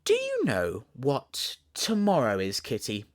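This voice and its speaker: A man's voice: mid-range voice, wildly swooping pitch, slow. A man voicing a playful young storybook girl, chattering and mock-scolding her kitten with affection. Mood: excited chatter.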